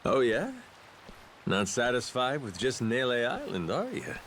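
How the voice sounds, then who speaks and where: A man's voice: medium pitch, huge pitch swings, unhurried, a bit bright, slight background noise, heavily acted. A man voicing a wry, informal male boat captain and adventurer in a video game dub. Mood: curious, casual.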